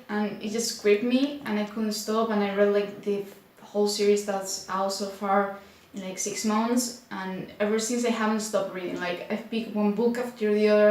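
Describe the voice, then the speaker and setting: A woman's voice: mid-range voice, a little mellow. Spanish-accented woman in an echoey room. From Spain. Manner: unhurried, many filler likes, slightly unsure. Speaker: booktuber. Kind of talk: casual vlog to camera.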